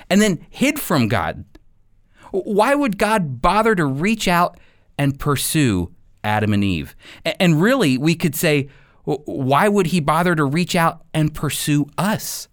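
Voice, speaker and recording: A man hosting a radio show and teaching the Bible, emphatic and repeating key points for stress. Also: fairly high pitch.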